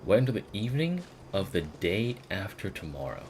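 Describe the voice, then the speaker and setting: A man's voice: low-pitched, sing-song, brisk, background noise. A man streaming a game with casual, playful commentary, muttering reactions and swearing. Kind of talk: casual live playthrough commentary. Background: game music.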